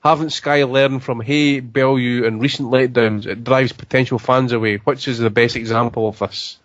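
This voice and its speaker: A man hosting a casual boxing podcast, reading out listener questions at a brisk clip. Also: medium pitch, steady tone, fairly bright tone, no room sound, highs cut off. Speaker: podcast host.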